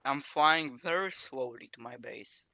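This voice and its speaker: A man's voice: mid-range voice, measured pace, noisy background. A man from Slovakia chatting and joking defensively while playing a game with friends. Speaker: guest player. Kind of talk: casual gaming chat.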